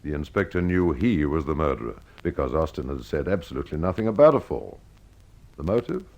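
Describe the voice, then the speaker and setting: A man's voice: very deep voice, very animated, brisk, darkish tone. A man hosting and narrating a scripted detective mystery. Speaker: host and storyteller.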